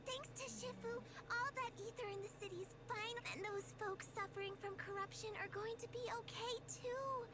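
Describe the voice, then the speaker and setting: A woman's voice: very high-pitched, steady tone, normal speed, few pauses, loud music behind the voice. An actress playing an eager, earnest young girl disciple in an anime-style video game. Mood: excited, pleading. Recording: game audio under loud background noise.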